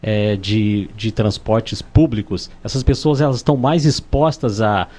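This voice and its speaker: A man's voice: lowish voice, fairly even intonation, unhurried, a little mellow. A Brazilian man co-hosting a live radio interview and asking the guest follow-up questions, with hesitations and repeated words. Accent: Brazilian Portuguese. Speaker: radio co-host.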